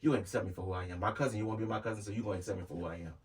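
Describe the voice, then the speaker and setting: A man's voice: on the low side, steady tone, rapid-fire, distant mic, crowd noise. A man, talking candidly as an interview guest and repeating himself earnestly. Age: 30s. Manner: earnest, repeats himself, heavy with "you know what I'm saying". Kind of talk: casual candid conversation.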